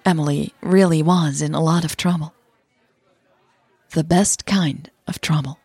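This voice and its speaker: Husky, unhurried woman narrator. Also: sits low, fairly even intonation, darkish tone, dry recording, a faint crowd. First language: Dutch. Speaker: writer, narrator and host. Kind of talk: scripted fiction narration and podcast sign-off.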